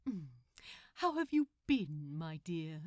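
A woman's voice: low-pitched, very animated, takes it slowly, a little mellow, dry recording, studio quality, voice pushed down, heavily acted. A woman voicing a polite, grandiose male supervillain scientist with formal diction in a story reading. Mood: genteel, unrepentant. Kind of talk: fan fiction audiobook character.

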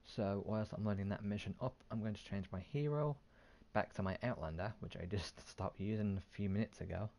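A man's voice: on the low side, a little fast, creaky, darkish tone. A man hosting a gaming video, giving casual commentary as he plays, on a close mic.

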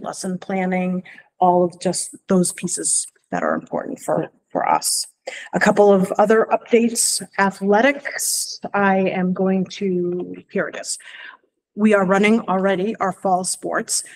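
A woman speaking as school superintendent, giving an informal, somewhat halting report at a board meeting in a library room. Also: medium pitch, a little mellow, poor audio.

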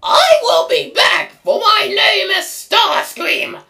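A vengeful robot villain from a cartoon. The voice is a screechy, extremely high strain that swoops wildly. Loud noise and clicks crowd the recording. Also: male voice, big pitch swings, slow pace, vocal fry, heavily acted.

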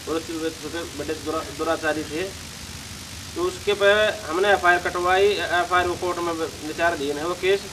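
A man, giving explanatory interview answers in a halting way, often correcting his words. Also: steady tone. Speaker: interview guest, contest organiser. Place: seated outdoors in front of banners. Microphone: handheld news microphone held to him. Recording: noisy outdoor sound, idling vehicle rumble.

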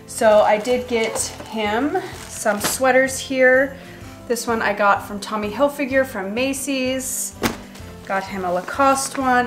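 A woman in her thirties with a stuffy, nasal voice from a cold, chatting casually and relaxed with many ums while she wraps gifts in her kitchen. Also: medium pitch. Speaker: lifestyle vlogger. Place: echoey home kitchen and living room.

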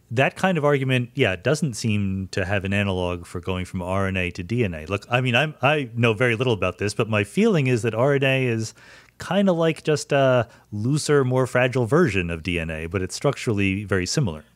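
A man's voice: on the low side, expressive, fairly quick, no room sound, studio quality. A man hosting a science podcast, asking questions in a curious, thinking-aloud way. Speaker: podcast host. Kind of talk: conversational science interview.

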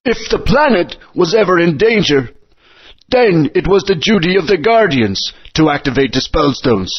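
A man's voice: sits high, slightly rough, exaggerated voice. An actor playing an old, fatherly dinosaur guardian in a video game, speaking in a grand, storytelling manner. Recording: dull lo-fi game audio.

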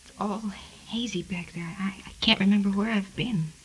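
Actress for weak, confused bedridden woman, 1940s radio play; hesitant half-whisper, middling pitch, slightly noisy vintage recording. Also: normal speed, natural voice. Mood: dazed. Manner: hesitant, faltering. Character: frail confused woman in a hospital bed.

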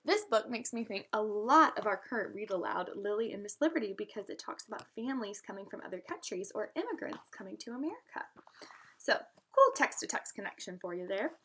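A woman's voice: mid-range voice, sing-song, fairly quick, a bit bright. A young adult woman reading a storybook aloud expressively, in an instructive manner, as a teacher does for students. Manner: instructive, addresses listeners with questions.

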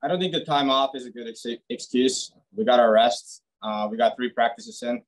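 A man's voice: medium pitch, normal speed, rough recording. A young man, a hockey player, answering reporters in a post-game video interview, blunt and plain-spoken with frequent restarts. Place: team media room, press backdrop, indoors.

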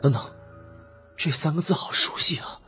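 A man's voice: on the high side, normal speed, fairly bright tone, voice pushed up, lightly acted. A man voicing an unstable, haunted young man who frantically denies his guilt in an audiobook, muttering and repeating himself. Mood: puzzled. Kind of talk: audiobook character acting. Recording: dramatic music behind the voice.

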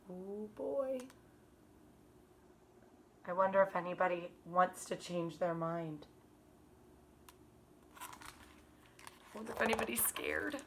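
Bright-voiced woman reading to children, unhurried. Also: mid-range voice, slight background noise. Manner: animated, expressive, drawn-out emphasis on words. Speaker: kindergarten teacher. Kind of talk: picture book read-aloud for children. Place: small living room by a fireplace, indoors.

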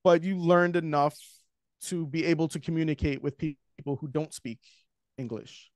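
A man's voice: fairly high pitch, moderate pace, no room sound. A man in his forties with a New York accent, a screenwriter talking casually and animatedly as a guest on a video call. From Queens, New York. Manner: talkative, animated, gestures while talking. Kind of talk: casual interview chat. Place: home room with shelves. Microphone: studio mic with headphones, video call.

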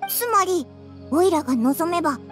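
A woman voicing an excitable, childish little floating fairy in a video game, squeaky and brash. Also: moderate pace, over loud music. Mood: curious. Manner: brash, rough boyish phrasing. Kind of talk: scripted game dialogue.

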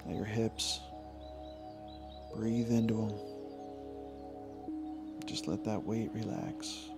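Male meditation guide, informal and soothing, very slow delivery with long pauses, clear music bed. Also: fairly low pitch, steady tone. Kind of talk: guided meditation, yoga nidra body scan.

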